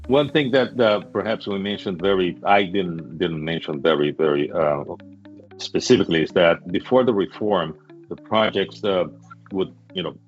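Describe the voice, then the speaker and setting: Very deep male guest, halting and self-correcting, dull video-call audio. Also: soft background music. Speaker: guest immigration expert. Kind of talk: casual webinar talk.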